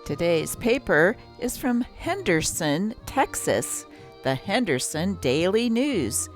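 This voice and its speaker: Lady reading old newspaper stories, kinda low voice but really animated. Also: takes it slowly, soft background music. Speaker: narrator and host of vintage newspaper channel. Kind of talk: scripted narration, reading old newspaper aloud.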